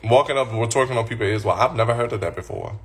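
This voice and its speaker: A man hosts a casual livestream, reading viewer comments and firing back with blunt, sassy replies. His voice sits at a middling pitch with a noticeably gravelly, fried texture, picked up on a phone mic. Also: fast, a bit bright. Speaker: livestream host.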